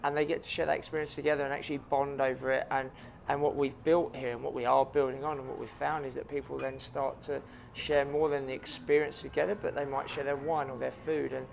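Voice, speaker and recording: Gravelly New chef, earnest. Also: male voice, mid-range voice, flat delivery, fairly quick, hardly any pauses, slight background noise. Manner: earnest, frequent ums and repeated words. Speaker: chef and restaurant owner. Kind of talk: interview answers.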